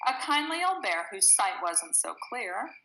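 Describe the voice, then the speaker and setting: A woman reading a rhyming children's picture book aloud in an expressive, sing-song storytelling manner. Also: mid-range voice, a little slow, vocal fry, noisy background. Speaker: storybook reader.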